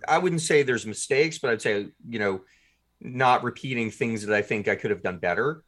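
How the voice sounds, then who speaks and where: A man's voice: mid-range voice, normal speed, fairly bright tone. A man speaking as a podcast guest in a casual, jovial interview, often thinking aloud as he talks about his creative work. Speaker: podcast guest, audio drama writer and producer.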